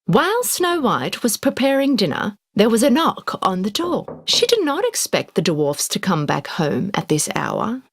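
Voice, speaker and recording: A woman narrating a scripted children's fairy tale in an expressive storytelling manner. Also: mid-range voice, a little slow, hardly any pauses, slightly rough.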